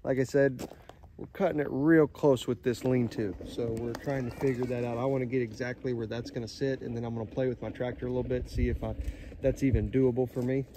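A man in his thirties casually explaining barn layout on an outdoor building site, relaxed and plain-spoken with many fillers. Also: medium pitch, fairly quick. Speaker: vlogger building a pole barn. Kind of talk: casual how-to narration. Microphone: handheld phone camera. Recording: outdoor phone audio with noise.

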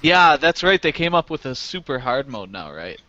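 A man's voice: medium pitch, very animated, normal speed, sharp tone, dry recording. A man in his late twenties acting as game master, chatting casually and then recapping the game. He talks over a headset on a video call and laughs often. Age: 20s. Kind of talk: casual chat and game narration. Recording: dull lo-fi call audio.

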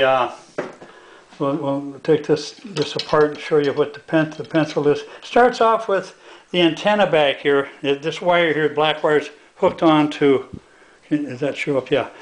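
An older American man with a slightly high voice walks through a homemade project. He is halting, folksy and plain-spoken, talking casually as he works indoors at a tabletop. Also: low-quality recording. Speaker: hobbyist demonstrator. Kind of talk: casual hands-on demonstration. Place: indoors at a home workbench.

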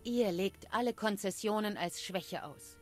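A woman's voice: mid-range voice, a little mellow, faint music behind, lightly acted. Woman playing a grave, proud forest dryad. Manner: measured, solemn, formal phrasing. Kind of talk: scripted game dialogue.

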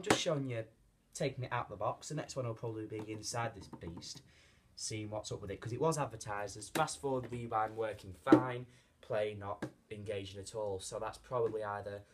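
Low-voiced young British man, noisy recording. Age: young adult. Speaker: host of an unboxing vlog. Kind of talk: casual unscripted chat to camera. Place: carpeted living room, indoors. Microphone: camera a short distance away. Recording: noisy home camera sound with clicks.

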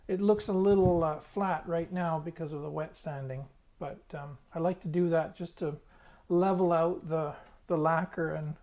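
A man's voice: a little high, darkish tone. Man casually talking through hands-on work. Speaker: hobbyist restorer presenting a tutorial. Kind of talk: casual hands-on demonstration commentary.

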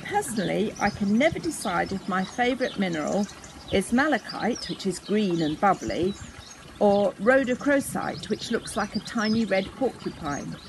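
A woman's voice: medium pitch, very animated, moderate pace, vocal fry, noisy background. An older woman reading a children's story aloud expressively, outdoors. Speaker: storybook reader. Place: outdoors in a garden.

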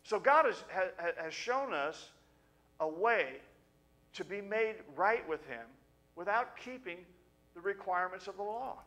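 Bright-voiced middle-aged man preaching. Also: sits high, lively intonation. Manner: earnest, conversational, with halting restarts. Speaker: pastor. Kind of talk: sermon with scripture reading. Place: small church sanctuary. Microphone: pulpit stand mic.

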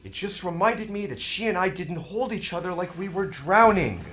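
A man's voice: high voice, expressive, moderate pace, fairly bright tone, a little hiss or hum. An actor playing a resigned, earnest man on the run, pleading with his lover in an audio drama. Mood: earnest, confessional.

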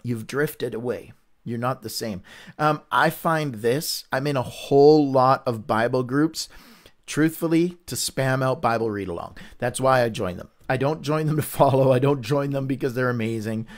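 A man's voice: mid-range voice, moderate pace. A man hosting a livestreamed Bible reading, teaching in an earnest, candid, conversational way with frequent restarts. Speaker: Bible study host.

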